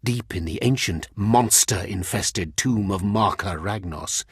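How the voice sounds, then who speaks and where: An actor playing a cruel, contemptuous Sith overseer in a video game, sneering and commanding with a husky, menacing voice. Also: male voice, lowish voice, unhurried, no room sound, studio quality, heavily acted. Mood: stern, commanding. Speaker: villainous trial master in a video game. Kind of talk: scripted game voice acting.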